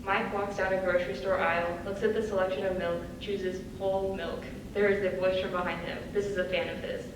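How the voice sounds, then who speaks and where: A woman's voice: medium pitch, level tone, fairly quick. A woman reading scripted stage directions as a narrator in a live reading. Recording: distant echoey hall, clear background noise.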